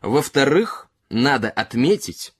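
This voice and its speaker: A man's voice: mid-range voice, very animated, slow, husky, dry recording, pitched above the performer's own voice, full character voice. A man voicing a tipsy, earnest character in a literary story who philosophises courteously and emphatically, in a rambling way. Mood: insistent, philosophical.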